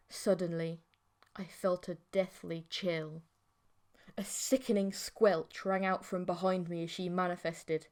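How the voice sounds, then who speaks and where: A woman reading a scripted story as an audiobook narrator, in a measured, theatrical way. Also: medium pitch, darkish tone.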